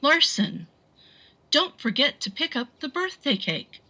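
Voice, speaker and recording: An actress playing a chirpy voice-assistant machine that announces reminders in a sci-fi audio drama. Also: female voice, high voice, big pitch swings, measured pace, bright, forceful tone, exaggerated voice. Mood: bright, automated. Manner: scripted, announcing.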